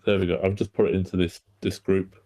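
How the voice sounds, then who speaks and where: Low, mellow man explaining casually. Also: flat delivery, quick pace. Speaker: screenwriter introducing his script. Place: online group voice call.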